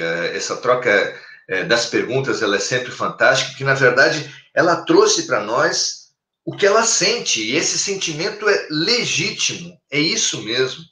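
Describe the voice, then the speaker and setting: Brazilian man in his fifties, spiritual speaker on a webcam; slow, bright and animated, in an echoey room. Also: medium pitch. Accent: Brazilian Portuguese. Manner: expressive, gestures widely, preacherly cadence. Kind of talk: spiritual talk on a live stream. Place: home room, indoors. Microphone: webcam on a video call.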